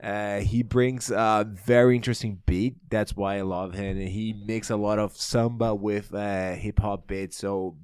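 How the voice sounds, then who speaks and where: Male podcast guest, Brazilian accent, Portuguese native, clear low tone, dry close sound, relaxed conversational style. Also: unhurried, few pauses, no echo. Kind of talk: casual group chat.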